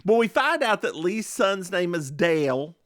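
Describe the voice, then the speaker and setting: Man, podcast co-host, lively swooping delivery, campy storytelling, dry close sound. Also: measured pace, dry recording. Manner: animated, campy storytelling with sudden high-pitched impressions. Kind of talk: casual chat recapping a TV episode.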